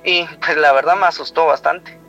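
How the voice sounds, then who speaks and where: High-voiced Guatemalan man recounting personal memories. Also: fairly even intonation, moderate pace, gravelly, fairly bright tone, dull audio. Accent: Guatemalan Spanish. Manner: rambling, retells dialogue with frequent "he told me" tags. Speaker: guest telling a personal story. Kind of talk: personal storytelling in conversation. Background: music.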